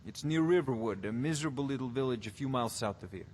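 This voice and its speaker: A haughty male court wizard from a fantasy game, played by an actor, lectures with scholarly self-importance. His mid-pitched voice is precise and condescending, with faint ambience behind it. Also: expressive, fast, a little mellow, slight background noise, natural voice. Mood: condescending, eager. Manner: pompous, condescending, wordy and precise. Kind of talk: fantasy video game voice acting.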